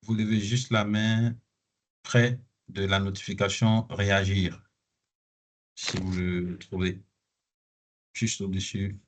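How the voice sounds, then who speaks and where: A man moderating an online conference over a video call, polite and measured. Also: low voice, little pitch movement, a little hiss or hum.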